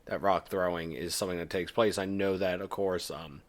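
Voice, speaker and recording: A man's voice: low-pitched, fairly even intonation, a little fast. A man co-hosting a podcast, talking in a laid-back way that trails off with fillers. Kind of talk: casual chat.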